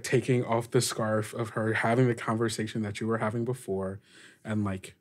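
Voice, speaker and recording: A man narrating a tabletop roleplaying game as game master, in a halting, deliberate way with pauses mid-phrase. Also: on the low side.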